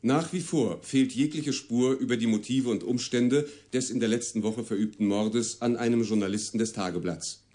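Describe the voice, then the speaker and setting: A man's voice: fairly low pitch, warm tone, close to an everyday voice. A man reading scripted radio news in a formal, neutral, precise manner, as part of a radio drama. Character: formal male radio newsreader. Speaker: news reader and announcer.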